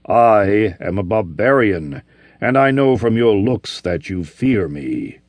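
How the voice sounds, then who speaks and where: Man voicing a barbarian warrior, savage, vengeful, deep, slow growl, dry sound. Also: sing-song, no room sound, heavily acted. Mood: gruff.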